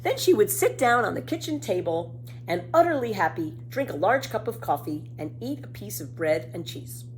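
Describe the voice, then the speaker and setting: Animated woman reading a children's story. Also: mid-range voice, faint music behind, poor audio. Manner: animated, deliberate emphasis. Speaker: storybook reader. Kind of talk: expressive scripted narration of a children's book.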